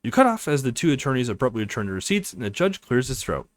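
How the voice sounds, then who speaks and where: A man reading a visual novel aloud as a let's play host, with expressive, dramatized narration. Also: mid-range voice, fast talker, dry recording.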